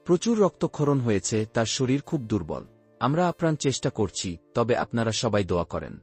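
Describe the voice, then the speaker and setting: A man acts out a grave hospital doctor in an audio drama. His voice is very husky and mid-pitched, with lively inflection in a measured, formal delivery over music. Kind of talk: audio story acting. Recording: music under the voice.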